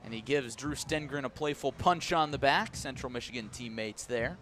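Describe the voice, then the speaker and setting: A man's voice: mid-range voice, moderate pace, fairly bright tone. A man giving live radio play-by-play of baseball, in clipped descriptive phrases that rise with the action. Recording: noisy outdoor ballpark ambience.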